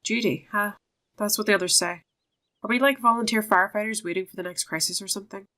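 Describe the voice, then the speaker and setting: A woman's voice: mid-range voice, fast, pushed and bright, lightly acted. Earnest young horse-riding heroine read by a woman. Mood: troubled, earnest.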